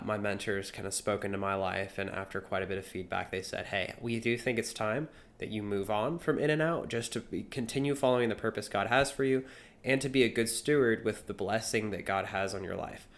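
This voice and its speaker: A man of about twenty with a slightly low voice. He talks casually to camera like a vlogger, earnest and a bit rambling as he shares his thoughts. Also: a bit bright. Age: 20 years old. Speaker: vlogger and young entrepreneur.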